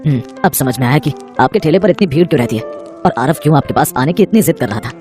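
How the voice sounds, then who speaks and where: A woman's voice: deep voice, lively intonation, quick pace, darkish tone, soft background music, voice pushed down. A woman voicing a grateful, generous well-off father in a children's story. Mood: pleased.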